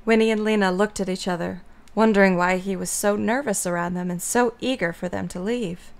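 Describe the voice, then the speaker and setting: A woman's voice: medium pitch, steady tone, brisk, vocal fry. A woman narrating a scripted story as the narrator of an audio drama.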